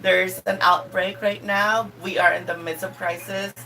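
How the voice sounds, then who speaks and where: A woman with a Filipino accent talking casually and politely, with halting, hesitant phrasing. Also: mid-range voice, little pitch movement, bright, forceful tone. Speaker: vlogger and job applicant. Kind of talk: casual, polite phone conversation and vlog talk. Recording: distant mic, clearly noisy background.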